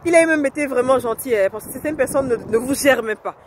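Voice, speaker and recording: A woman's voice: high voice, fast talker. A woman with the flu vlogging outdoors by a lake, chatting casually and rambling with many fillers and self-corrections. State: has the flu. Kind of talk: casual vlog advice. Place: outdoors by a lake in freezing wind. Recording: loud wind noise outdoors.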